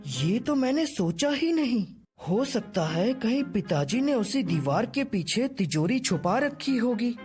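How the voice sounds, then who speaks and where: A woman's voice: fairly high pitch, sing-song, a little slow, darkish tone. A woman voicing a lazy, greedy young son in a children's cartoon tale, with eager, theatrical delivery. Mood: eager, scheming. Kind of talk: cartoon story voice acting. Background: music.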